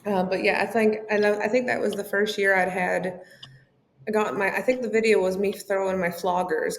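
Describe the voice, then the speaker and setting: Gravelly chatty Southern woman, echoey room. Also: on the low side, slight background noise. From Tennessee, US South. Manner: open, chatty, laughs often, many ums. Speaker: podcast guest. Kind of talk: casual interview chat. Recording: echoey room, faint ambience.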